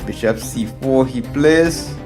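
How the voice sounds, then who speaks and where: A man's voice: mid-range voice, slow. Trinidadian man thinking aloud over music. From Trinidad and Tobago. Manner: thinks aloud, repeats phrases, addresses viewers as friends. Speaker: chess streamer and instructor. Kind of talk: live game commentary, explaining moves to viewers. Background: background music.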